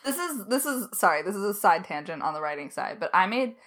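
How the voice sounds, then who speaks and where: Woman writer, animated pitch, casual and playfully insistent, prone to tangents. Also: quick pace. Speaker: audio drama lead writer answering questions. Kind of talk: casual unscripted chat.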